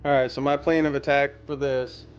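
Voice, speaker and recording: Man, even monotone delivery, casual how-to narration, thinking aloud, some faint background noise. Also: mid-range voice, brisk, lo-fi sound. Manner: rambling, thinking aloud while working. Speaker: DIY car audio vlogger. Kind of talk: casual hands-on tutorial narration.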